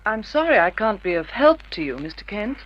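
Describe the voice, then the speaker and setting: Polite shopgirl with lively, swooping intonation. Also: medium pitch, brisk. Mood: gentle, obliging. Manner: courteous, obliging. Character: polite, soft-hearted female novelty shop clerk. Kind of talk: old radio crime drama acting. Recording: dull vintage radio drama recording.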